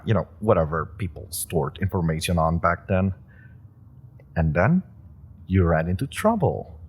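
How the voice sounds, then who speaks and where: A man playing a pushy young superhero rookie, husky and low. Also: exaggerated voice. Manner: eager, pushy, persuasive talk with playful laughs. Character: eager young male super-powered rookie, pushy and persuasive. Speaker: voice actor in an audio roleplay. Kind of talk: scripted audio roleplay addressed to the listener.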